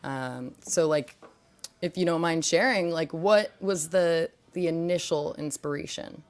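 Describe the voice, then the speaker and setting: A woman's voice: low voice, a little slow, clear, resonant tone. A young woman hosting a casual live-stream chat, chatty and upbeat, often saying "like". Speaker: host.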